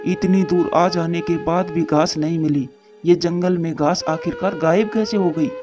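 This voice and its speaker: A man's voice: a little high, steady tone, moderate pace, close to an everyday voice. A man voicing a caring, anxious father pigeon devoted to his child in a moral-story cartoon, on a muffled track. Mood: frightened. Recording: dull muffled studio track, music behind.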